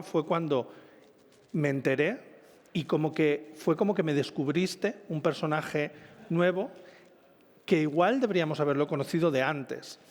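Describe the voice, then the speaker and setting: A man hosting an informal literary interview in a chatty, rambling, self-correcting way, in a reverberant hall. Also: fairly high pitch. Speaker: event host, interviewer. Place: live event in a reverberant hall. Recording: echoey hall, long reverb.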